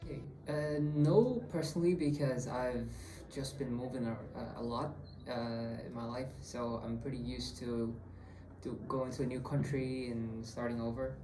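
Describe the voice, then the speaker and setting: A young man from India in his twenties, answering interview questions casually, halting with fillers. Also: mid-range voice, steady tone, echoey room, music in the background, low-quality recording. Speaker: student interviewee.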